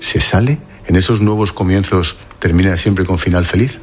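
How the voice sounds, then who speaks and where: A man, working as a reporter, interviewing a guest and asking brisk, direct questions. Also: sits low, a little hiss or hum.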